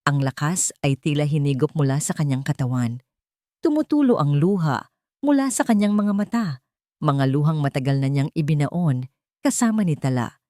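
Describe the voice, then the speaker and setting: A woman narrating a scripted story in a theatrical, suspenseful way with deliberate pauses. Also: low-pitched, lively intonation, dark tone, no echo, studio quality. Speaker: audiobook narrator.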